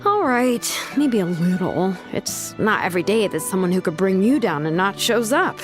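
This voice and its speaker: A woman's voice: mid-range voice, expressive, moderate pace, music in the background, natural voice. A woman voicing a laid-back, sardonic young artisan in a video game, with a casual, cheeky, drawling delivery. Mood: defensive, teasing.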